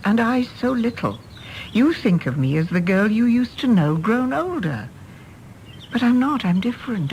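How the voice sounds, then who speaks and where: An actress playing a weary, disillusioned mother in a radio drama, measured and emotive. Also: a little high, darkish tone, slight background noise, rough recording.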